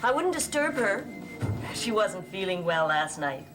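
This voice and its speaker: A woman's voice: mid-range voice, big pitch swings, a little slow, over music. An actress playing an anxious, genteel middle-aged mother, polite and a little hesitant, in gothic soap opera style. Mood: flustered politeness.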